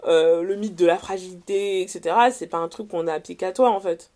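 A young adult woman with a French accent from France speaking as an interview guest, in casual, slangy personal testimony, animated and often correcting herself mid-sentence. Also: on the low side, brisk. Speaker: interview guest, writer and columnist.

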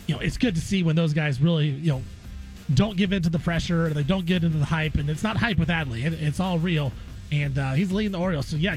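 A man hosting sports radio in a casual, opinionated way, repeating phrases for emphasis. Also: fairly high pitch. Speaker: sports radio guest host.

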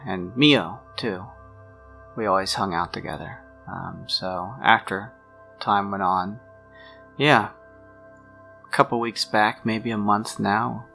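A man playing an awkward, guilt-ridden young man in a tabletop game, talking haltingly and trailing off. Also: on the low side, creaky, bright, forceful tone, heavily acted. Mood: halting, uneasy.

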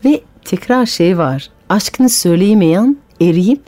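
A woman's voice: medium pitch, expressive, a little slow, warm tone, dry recording. A French-born woman whose first language is French, hosting a radio interview and asking curious, probing questions. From France. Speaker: radio show host and storyteller. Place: radio studio.

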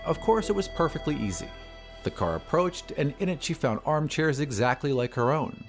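A man narrating a story in a deliberate, literary, dramatic scripted style. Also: on the high side, lively intonation. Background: music.

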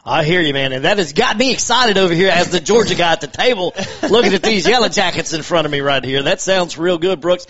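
A man's voice: sits high, quick pace, few pauses, fairly bright tone, lo-fi sound. A man hosting a sports radio show, upbeat and easygoing. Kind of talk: casual sports radio chat.

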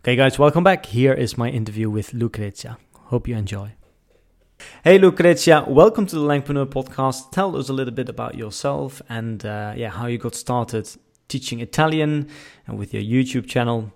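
A male podcast host with a gravelly, creaky voice and a warm, mellow tone. He talks in an upbeat, promotional way as he introduces the episode and his guest. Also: mid-range voice, animated, normal speed. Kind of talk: podcast interview and sponsor read.

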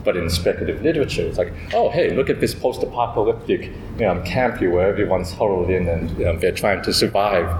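A man's voice: low voice, brisk, few pauses, darkish tone. A man speaking on a panel, rambling and hesitant with many fillers. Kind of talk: reflective panel talk. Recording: roomy echo with background noise.

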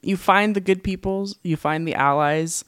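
Smooth-voiced young man, easygoing. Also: high voice, moderate pace, fairly bright tone. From Southern California. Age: 20s. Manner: easygoing, laughs often, many ums. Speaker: guest. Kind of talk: casual conversational interview.

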